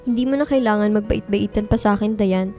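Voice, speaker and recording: A woman's voice: mid-range voice, fast, mellow, lightly acted. A woman playing a guarded, blunt, introverted young female student in a radio drama, speaking in a frank, dry way. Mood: blunt, defensive. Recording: music bed behind dialogue.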